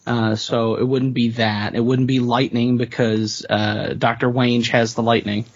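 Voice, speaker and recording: A man talking casually as a player in a tabletop role-playing game, informal and jokey, thinking out loud as he describes a character. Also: mid-range voice, flat delivery, a little slow, slightly rough, dry recording, muffled recording.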